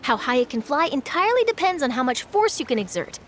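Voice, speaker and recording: An actress playing a cheerful, excitable young woman journalist who chats eagerly, with soft game music behind. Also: high-pitched, quick pace, a little hiss or hum. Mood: excited. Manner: excitable, chatty, bubbly.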